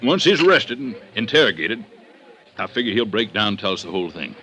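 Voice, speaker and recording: A stubborn, cocky investigator from a vintage radio crime drama. His voice is sharp and fairly low, with very animated swings in emphasis. He talks in sarcastic, colloquial style, pushing every point. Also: fairly quick. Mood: confident, insistent. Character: cocky, stubborn male police investigator convinced of murder. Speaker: actor in radio detective drama. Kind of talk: old-time radio drama acting.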